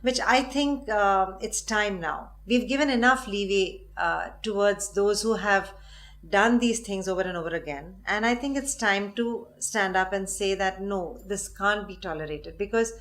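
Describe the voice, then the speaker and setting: A middle-aged woman with a Tamil-tinged Indian English accent speaks at an ordinary mid pitch as an interview guest. She answers frankly and firmly, building her points with conviction. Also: a little slow, a bit bright. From Chennai, India. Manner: earnest, firm, with light laughs.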